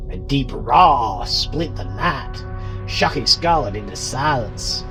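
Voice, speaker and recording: Casting: male narrator, mid pitch, highly animated, dramatic scripted horror read with music behind. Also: slow.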